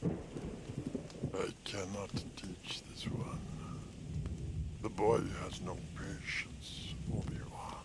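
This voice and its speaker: A man playing a tiny ancient alien master in a radio drama, impish yet stern, with a croaky voice, inverted word order and little hums. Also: low-pitched, little pitch movement, drawn-out words, pauses often, in a whisper. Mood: grave, brooding. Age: eight hundred years old. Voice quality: croaky, creaky voice.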